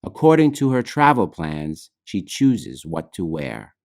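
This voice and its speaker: Slow, expressive man reading storybook verse. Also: sits low. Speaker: author reading own children's book. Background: music.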